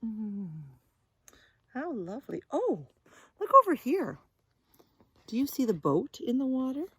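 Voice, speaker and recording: Woman, children's read-aloud, gentle teacher manner, slow pace, very lively sing-song intonation, addressing preschoolers. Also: sits high, breathy edge, no echo. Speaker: early learning teacher reading a picture book aloud.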